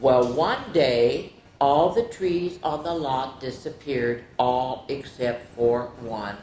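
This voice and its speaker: A woman's voice: very deep voice, warm tone. A woman hosting a storytime, reading picture books aloud for young children and adding chatty asides. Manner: slow, deliberate delivery with chatty asides to listeners. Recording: echoey room with faint background noise.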